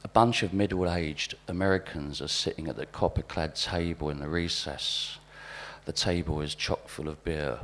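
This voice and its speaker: Very deep-voiced Englishman reading deadpan vignettes. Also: moderate pace. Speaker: spoken-word performer. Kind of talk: live reading of overheard vignettes. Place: live literary event in a venue.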